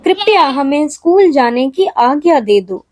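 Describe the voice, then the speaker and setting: A woman's voice: high voice, sing-song, measured pace, darkish tone, no room sound, studio quality, pitched above the performer's own voice. A woman voicing an obedient, sensible young male goat kid in a children's story, earnest and firm. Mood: earnest pleading.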